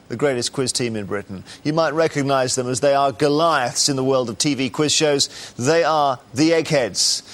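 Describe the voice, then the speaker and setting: Dry-witted male quiz show host. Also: medium pitch. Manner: dry, teasing banter.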